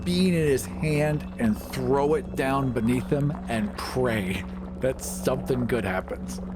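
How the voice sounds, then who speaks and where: A man's voice: mid-range voice, takes it slowly, very noisy. A man playing a tabletop game, describing his actions and rolls in casual talk. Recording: music behind the voice. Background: music.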